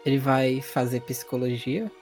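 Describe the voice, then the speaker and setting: A man's voice: medium pitch, fairly even intonation, unhurried, a little mellow, faint music behind. A man with a Brazilian accent narrating a tabletop role-playing game as game master, colloquial and explanatory.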